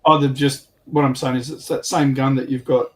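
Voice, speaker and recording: A man's voice: medium pitch, level tone, normal speed. A man speaking casually as a panelist on a hobby livestream.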